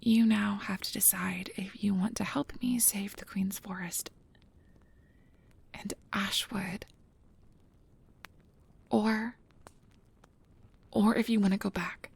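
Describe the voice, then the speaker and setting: A woman playing a nervous, earnest, principled field researcher of magical creatures in an ASMR audio drama, soft and hesitant with stammers, close to the mic. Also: medium pitch, fairly even intonation, slow, pauses often, no room sound, exaggerated voice. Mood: subdued, resolute. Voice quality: breathy, soft. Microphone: close mic, dry recording.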